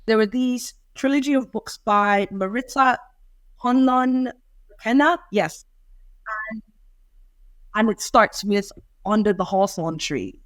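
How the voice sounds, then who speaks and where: A woman's voice: fairly high pitch, slow, pauses often, no room sound. Reflective young woman, dry sound. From Ireland. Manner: reflective, thoughtful. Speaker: poet and interview guest. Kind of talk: interview answers.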